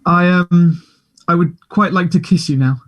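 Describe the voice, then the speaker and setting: An actor reading the part of a gentle, patient and quietly direct young man in a play table read. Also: sits high, measured pace. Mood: tender.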